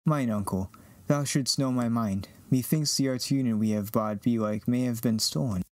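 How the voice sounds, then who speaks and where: A man's voice: medium pitch, moderate pace, a little mellow, no room sound, natural voice. A man playing an earnest, lovestruck young farm boy hero, declaiming verse in an amateur Shakespearean reading. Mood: resolved.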